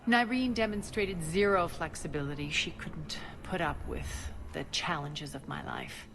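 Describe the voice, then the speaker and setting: Low-voiced, haughty alien crime queen. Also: animated, noisy background. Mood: cold, sardonic. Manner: haughty, deliberate, sardonic. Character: ruthless, imperious alien female crime queen, cold and commanding. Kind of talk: video game voice acting.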